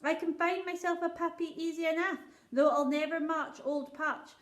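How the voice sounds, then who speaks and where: A woman's voice: very high-pitched, flat delivery, moderate pace, pitched above the performer's own voice. A woman voicing an old male shepherd who speaks in a rustic dialect and pleads earnestly. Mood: pleading. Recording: roomy echo.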